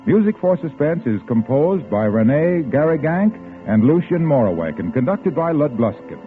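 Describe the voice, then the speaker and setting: A man's voice: on the high side, very animated, normal speed. An American man announcing on a 1940s radio broadcast, with a polished, emphatic scripted delivery. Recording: tinny vintage radio broadcast, music behind.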